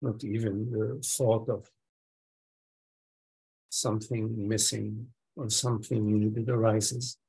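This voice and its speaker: A man leading a guided meditation as a teacher, giving gentle instructions in sparse phrases with long pauses between them. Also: on the low side, level tone, vocal fry, husky, low-quality recording.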